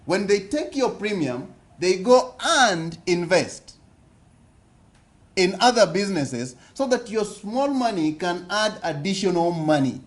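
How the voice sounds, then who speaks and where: A Kenyan man with a fairly high voice addresses a room at a business forum. He speaks slowly and deliberately, putting questions to the audience and going back over his points. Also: very animated. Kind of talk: interactive seminar talk. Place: conference hall.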